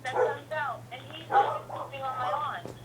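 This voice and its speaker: A woman's voice: sits high, normal speed. A woman on the receiving end of a phone call, answering spontaneously in a hesitant, questioning way. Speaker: prank call recipient.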